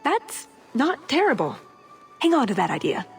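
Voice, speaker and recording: Wry, animated elf woman, high-voiced. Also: moderate pace, creaky, soft background music, exaggerated voice. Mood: wry, exasperated. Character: wry, restless young female elf thief.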